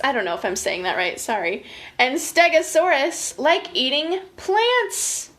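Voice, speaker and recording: An American woman leading children's story time as an educator, expressive and chatty, reading and giving instructions directly to young listeners. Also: sits high. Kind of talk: animated picture-book reading and casual guided instruction for young children.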